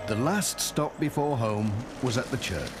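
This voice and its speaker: A man's voice: medium pitch, expressive, measured pace, loud music behind the voice. A man narrating a children's cartoon as a storyteller.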